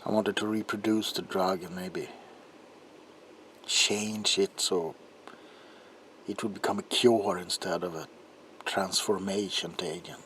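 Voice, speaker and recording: A man playing an anguished, guilt-ridden doctor confessing in an ASMR roleplay monologue, halting and intense, with a breathy voice that often drops into a whisper. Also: deep voice, fairly even intonation, fairly bright tone, a little hiss or hum. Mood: grim remorse. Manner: halting, haunted, intense, broken phrases.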